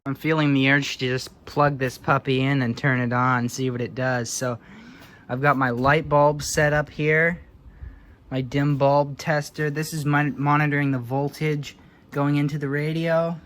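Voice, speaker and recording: A man's voice: a little high, flat delivery, measured pace, fairly bright tone. A man giving a casual, unscripted show-and-tell as a hobbyist presenter, thinking aloud in an offhand, hesitant way. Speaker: hobbyist presenter showing vintage electronics.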